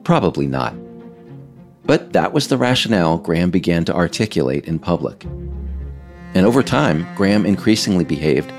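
A man's voice: sits low, very animated, moderate pace, gravelly, a little mellow, soft background music. A man reading scripted narration as the author of an audiobook.

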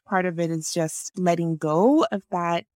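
A woman's voice: fairly low pitch, steady tone, measured pace, darkish tone, no echo, clean studio sound. A middle-aged woman from Nigeria, a naturopathic physician, talking thoughtfully in an interview and laughing often. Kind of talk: conversational interview.

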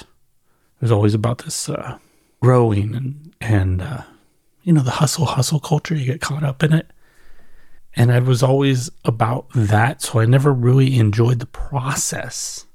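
A man giving a casual, reflective solo monologue off the cuff like a podcast host, conversational and thoughtful, leaning on repetition and pauses for emphasis. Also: medium pitch, darkish tone, no echo, studio quality. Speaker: podcast host and newsletter writer.

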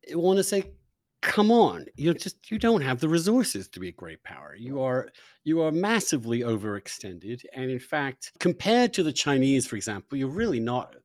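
A man's voice: mid-range voice, big pitch swings, moderate pace, darkish tone, no room sound, studio quality. A man interviewing a guest, posing long conversational questions mixed with opinionated, emphatic commentary.

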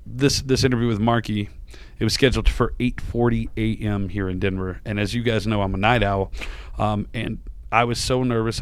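A tired male podcast host with a lowish, smooth voice, recorded dry and close. He rambles on with plenty of fillers and restarts. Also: dry recording. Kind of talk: casual podcast chat and interview.

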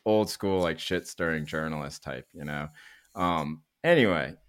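A man's voice: a bass voice, unhurried. A middle-aged man, born in Korea, chatting casually as a podcast host, opinionated and sweary, with a discursive storytelling way of talking. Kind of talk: casual podcast film chat.

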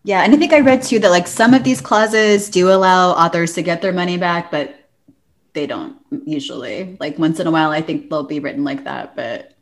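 A woman with a slightly low-pitched voice talks as a podcast co-host. She is informal and opinionated, in the loose style of a casual panel chat. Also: moderate pace.

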